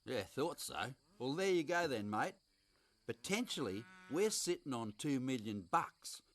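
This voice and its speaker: A man voicing a gruff, wry farmer uncle in a cartoon, laid-back and dry. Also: mid-range voice, big pitch swings, measured pace.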